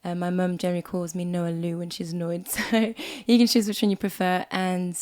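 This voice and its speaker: A woman in her twenties from Switzerland with a slight Swiss accent, co-hosting a casual podcast chat at home, a little croaky from a cold and quick to laugh. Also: on the low side, flat delivery. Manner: chatty, warm, laughs often. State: hoarse from a cold. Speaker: podcast co-host. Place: small music room at home.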